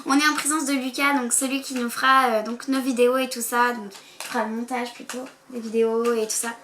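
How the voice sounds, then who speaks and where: A woman's voice: on the high side, level tone, a little fast, a bit bright. A teenage girl from France co-hosting a casual chat, talking with many fillers. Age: teens. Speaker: co-host. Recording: echoey room with faint noise.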